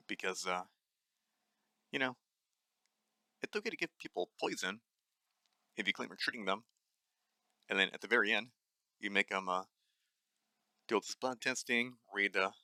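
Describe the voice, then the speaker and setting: A man's voice: fairly low pitch, sharp tone, dry recording. Angry young man with a dry voice. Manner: angry, blunt, swears often; many fillers and restarts. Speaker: nutrition podcast host. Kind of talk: solo ranting monologue.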